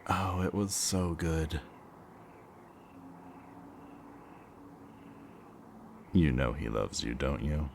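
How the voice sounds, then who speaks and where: Man playing a very deep, slow, gloating villain. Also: lively intonation, vocal fry, slight background noise, full character voice. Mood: taunting, gleeful. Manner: slow, mocking, theatrically drawn-out delivery. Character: smug, taunting male villain kidnapper, theatrical and gloating. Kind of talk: scripted audio roleplay, ASMR.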